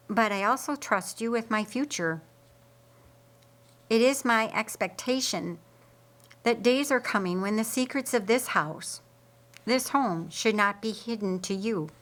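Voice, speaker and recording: A woman voicing a solemn male householder in a story, speaking formally and deliberately. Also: mid-range voice, fairly bright tone, natural voice.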